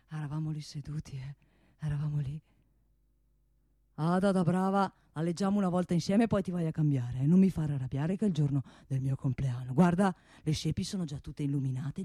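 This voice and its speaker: An actor playing a boastful, coaxing middle-aged father and hunter, repeating phrases haltingly and flaring into irritation, in a theatrical radio comedy style. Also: medium pitch, dark tone, husky, no room sound, studio quality, close to an everyday voice. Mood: wistful, coaxing. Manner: halting, repetitive, cajoling, bursts of irritation. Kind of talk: theatrical monologue-like stage comedy acting.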